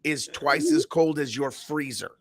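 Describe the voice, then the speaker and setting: An American man with a slightly raspy voice co-hosting a lighthearted morning show, bantering casually in a joking, teasing way. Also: fairly high pitch, measured pace, no echo.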